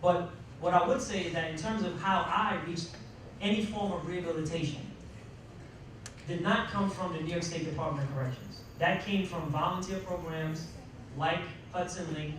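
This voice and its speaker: Male panelist, slightly high pitch, emphatic, rhetorical questions, mid-sentence pauses, distant mic, noisy room. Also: steady tone, very echoey. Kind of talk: panel discussion remarks, unscripted. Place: large indoor room at a college panel event.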